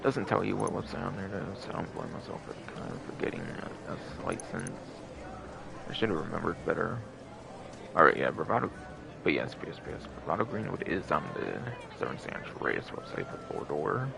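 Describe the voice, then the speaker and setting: A man's voice: low-pitched, animated, a little fast, creaky, background noise. A man hosting a game livestream with casual, rambling, repetitive commentary.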